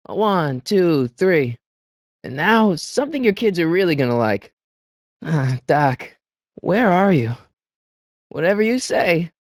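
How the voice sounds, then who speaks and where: A man voicing an earnest, excitable teenage boy adventurer in a video game, prone to stammering when flustered. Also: sits high, no room sound, studio quality. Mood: playful.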